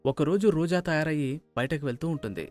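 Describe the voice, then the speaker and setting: A man's voice: a little high, expressive, fast, darkish tone, faint music behind. A man narrating a scripted moral story.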